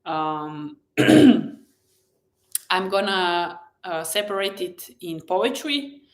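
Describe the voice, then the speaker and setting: Slow-paced Slovenian woman chatting on live stream. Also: mid-range voice, lively intonation. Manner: hesitant, pausing often mid-thought; gentle, effusive, encouraging. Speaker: live stream host and blogger. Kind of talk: casual live stream chat to viewers.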